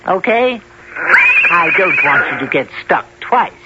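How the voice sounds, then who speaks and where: A man's voice: sits high, big pitch swings, slow pace, exaggerated voice. A man playing a valiant little tailor in a radio folk tale, chatty, sly and cocky, with a jaunty theatrical delivery. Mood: gleeful, taunting. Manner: theatrical, talks to himself and others jauntily. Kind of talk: 1970s old-time radio drama acting. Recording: muffled, hissy 1970s radio recording.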